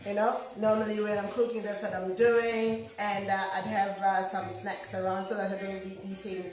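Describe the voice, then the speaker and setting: A middle-aged woman with a hoarse, slightly nasal voice, chatting loudly with many fillers while cooking at home. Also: medium pitch, level tone, normal speed, distant mic, faint music behind. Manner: loud, close to shouting, many fillers. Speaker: home cook vlogger. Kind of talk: casual cooking vlog chat. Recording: very echoey kitchen, voice off-mic.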